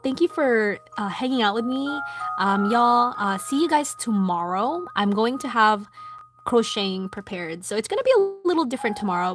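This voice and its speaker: Chatty Filipino woman streaming over music. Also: on the high side. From Philippines. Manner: chatty, soft, lots of ums, reads chat aloud. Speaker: livestream host. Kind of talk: casual chat while drawing. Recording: background music.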